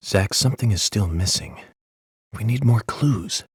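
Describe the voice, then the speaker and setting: An actor playing a self-assured, coolly analytical male federal investigator in a video game, measured and matter-of-fact as he lectures. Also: lowish voice, animated, slightly rough, no echo, clean studio sound, exaggerated voice. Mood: musing. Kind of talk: video game cutscene acting.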